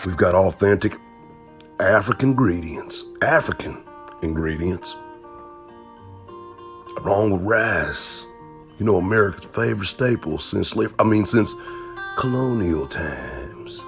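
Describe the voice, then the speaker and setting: Mock-ad pitchman , as a man puts him on: deep, bouncy, unhurried, folksy, with soft guitar behind. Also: lively intonation. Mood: salesy. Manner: salesy, drawn-out pitch delivery. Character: folksy male farm-product ad announcer.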